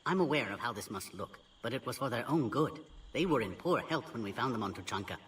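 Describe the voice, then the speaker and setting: An actor playing an anxious, officious alien scientist-bureaucrat in a video game, polite but hurried and defensive. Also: male voice, mid-range voice, expressive, fairly bright tone, recorded from a distance, lightly acted.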